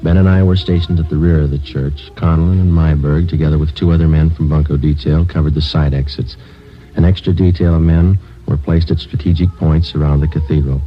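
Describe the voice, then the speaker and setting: An actor in a 1950s radio police drama playing a terse, stoic sergeant who narrates cases in a clipped, matter-of-fact way. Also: male voice, very deep voice, fairly even intonation, a little fast. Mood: deadpan. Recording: muffled vintage radio recording with music.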